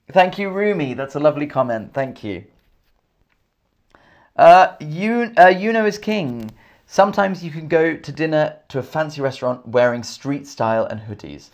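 A man from England with an English accent, teaching a live online English lesson, slowly repeating each question and thanking viewers by name. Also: a little high. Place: indoors, in Scotland.

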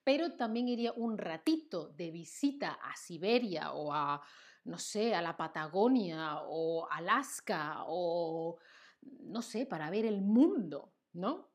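A woman's voice: on the low side, lively intonation. A young woman from Seville with an Andalusian Spanish accent, hosting a playful live-stream Spanish lesson, chatting with viewers and laughing often. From Seville, southern Spain. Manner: playful, chatty, laughs often, reads chat names aloud; lists words slowly with hesitant fillers.

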